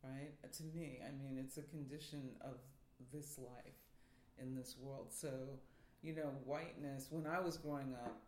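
A man's voice: mid-range voice, fairly even intonation, measured pace, slight background noise. A man from New York City, a theater professor, speaking thoughtfully as an interview guest. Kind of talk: reflective interview talk. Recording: roomy echo.

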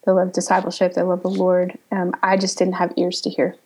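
Woman, 30s, from Pennsylvania, writer guest, husky, gravelly, flat delivery, halting. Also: lowish voice. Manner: reflective, halting, many um fillers. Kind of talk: casual interview talk.

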